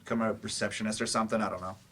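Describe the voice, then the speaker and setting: An actor playing a working-class adult student in a staged play reading. The delivery is quick and blunt, with a weary, plain-spoken feel. The pitch sits in the middle. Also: fairly even intonation, a bit bright, a little hiss or hum, close to an everyday voice. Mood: resigned.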